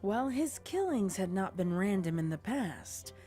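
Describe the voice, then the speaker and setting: A woman's voice: medium pitch, very animated, moderate pace, dark tone, soft background music. A woman reading scripted story narration as the narrator of a tale.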